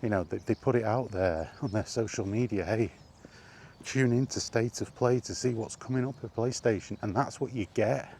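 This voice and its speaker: A man's voice: fairly low pitch, normal speed, a little mellow, a little hiss or hum. A man talking off the cuff in an exasperated gaming rant, emphatic and full of rhetorical questions. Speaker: solo video game vlogger.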